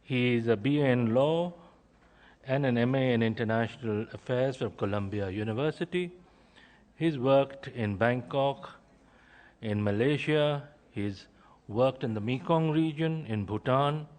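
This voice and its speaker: A man chairing a conference session, introducing the speakers in a measured, formal way and pausing between list items. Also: mid-range voice, a little mellow.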